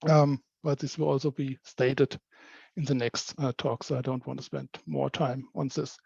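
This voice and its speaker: A man presenting at a business community call as a working group member, speaking haltingly with non-native phrasing. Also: medium pitch, level tone, vocal fry, a little mellow, breathy edge. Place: indoor meeting room, on-site group meeting. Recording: dull, echoey meeting-room call audio.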